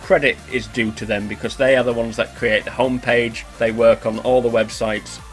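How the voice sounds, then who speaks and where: Stuffy-nosed host with a cold, chatty rambling roundup, mid-pitched voice. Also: male voice, flat delivery, normal speed, soft background music. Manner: chatty, rambling with fillers and restarts. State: congested with a cold. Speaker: gaming news host. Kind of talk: casual news roundup voice-over.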